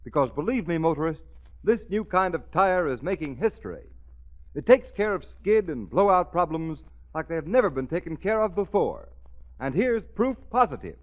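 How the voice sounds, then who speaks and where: Animated, high-pitched male radio announcer. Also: moderate pace. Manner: dramatic, emphatic. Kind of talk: 1930s radio announcing, scripted. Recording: muffled old radio recording.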